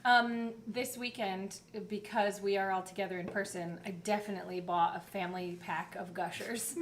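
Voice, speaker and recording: A woman's voice: mid-range voice, steady tone, moderate pace. Playful giggly woman podcast host just chatting, noisy background. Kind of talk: casual chat.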